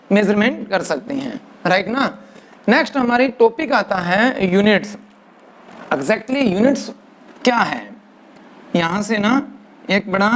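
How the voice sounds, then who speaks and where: A male physics teacher giving a lecture, mixing English into Hindi, dictating definitions slowly word by word and often checking with "right na". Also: high voice, fairly bright tone, a little hiss or hum. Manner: mixes English into Hindi, keeps asking "right na". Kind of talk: classroom lecture.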